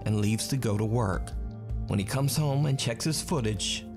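A man reads a scripted countdown of ghost clips in a measured, dramatic way. His pitch is middling. Clear music plays under his voice, as from a played video. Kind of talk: scripted narration.

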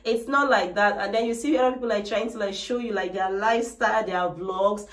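A woman's voice: medium pitch, steady tone, a little fast. A woman in her thirties chatting casually to camera as a vlogger, full of "like" and "trust me", filmed indoors without a mic. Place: bright decorated room indoors. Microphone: camera mic, no microphone. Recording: echoey room, voice a little distant.

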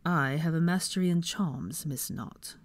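Woman acting composed aristocratic wizard father, low and measured. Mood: deceptively calm. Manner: deceptively calm, measured.

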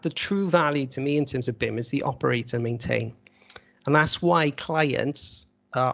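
A man's voice: on the high side, gravelly. A man lecturing at an online webinar, explaining conversationally with rhetorical questions over a video call. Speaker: university lecturer presenting at a webinar. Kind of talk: informal slide-led lecture. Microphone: video call microphone.

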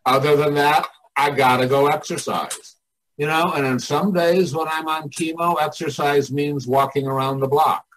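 A man's voice: a little high, fairly even intonation, moderate pace, a bit bright, poor audio. An older man chatting casually and motivationally as a coach, candid, folksy and given to swearing. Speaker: artists' coach and mentor, podcast guest.

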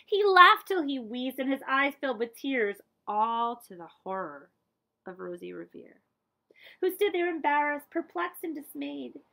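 High-voiced woman reading to children. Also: creaky, poor audio. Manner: animated, deliberate storytelling for children. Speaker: librarian reading aloud. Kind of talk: expressive children's picture-book read-aloud. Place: small room with bookshelves, indoors.

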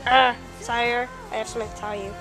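A woman's voice: high-pitched, expressive, normal speed, music in the background, voice pushed down. A woman playing a meek, apologetic servant who speaks to a ruler in a subdued, hesitant way in an amateur fan drama. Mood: meek, deadpan.

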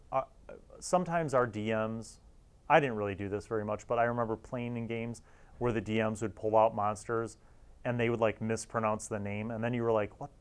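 Low-voiced man rambling casually. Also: clear, resonant tone. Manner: enthusiastic, nostalgic fan. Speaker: host of a retro tabletop gaming review series. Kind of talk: casual rambling review to camera. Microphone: lapel mic.

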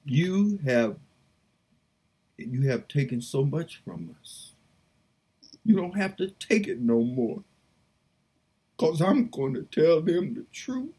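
A woman prays aloud and reads scripture with fervent, pleading feeling. Her voice is husky and breathy, and she drags out her words very slowly. Her pitch swoops between low murmurs and high cries. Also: sing-song, warm tone. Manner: fervent, pleading, slow and drawn out. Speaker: preacher. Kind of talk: impassioned prayer and scripture reading.

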